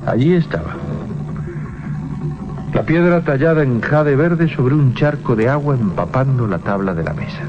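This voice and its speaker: An ominous storyteller played by a man narrates horror with a Spain accent and theatrical pauses. His medium-pitched voice is very lively, on muffled 1980s radio with music behind. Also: natural voice. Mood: ominous suspense. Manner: suspenseful, theatrical pauses and repetitions. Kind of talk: dramatic scripted radio narration.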